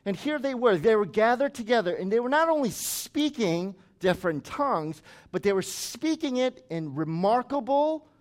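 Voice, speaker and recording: A man's voice: extremely high pitch, very animated, normal speed, few pauses. A man who grew up in the United States, preaching a sermon as pastor in a conversational way with chatty asides.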